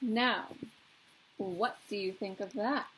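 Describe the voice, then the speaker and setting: A woman's voice: mid-range voice, takes it slowly, a bit bright, a little hiss or hum, poor audio. A woman hosting a children's storytime, reading aloud expressively in simple phrases for young listeners.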